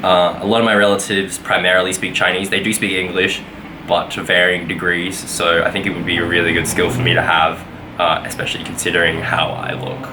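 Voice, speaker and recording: A man's voice: sits low, completely flat delivery, a little fast, background noise. A young man giving a casual weekly vlog update, rambling with lots of fillers and self-corrections. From Australia. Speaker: vlogger.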